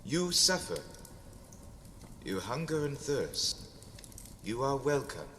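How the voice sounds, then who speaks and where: A man voicing a kindly, devout old priest who speaks in a gentle, measured, welcoming way. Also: mid-range voice, sing-song, big-room sound, slight background noise, pitched above the performer's own voice. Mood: gentle, reassuring.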